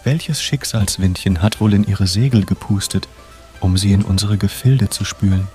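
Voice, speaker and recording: A man's voice: fairly low pitch, fairly even intonation, normal speed, darkish tone, faint music behind, lightly acted. A man playing a lofty village philosopher who muses in flowery phrases in a comic audio drama. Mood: lofty, musing. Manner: flowery, declaiming.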